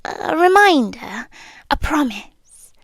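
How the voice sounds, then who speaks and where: A British woman playing a centuries-old, possessively devoted monster girlfriend in an intimate ASMR roleplay, speaking softly and often slipping into breathy whispers. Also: high-pitched, very animated, slow pace, dry recording, studio quality, exaggerated voice. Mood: gentle, possessive.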